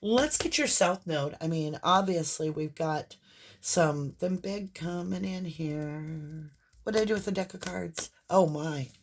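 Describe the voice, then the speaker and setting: A woman with a hoarse voice and a mixed Southern and Northern American accent, giving a casual, rambling tarot reading straight to the viewer. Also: fairly low pitch, measured pace. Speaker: tarot reader.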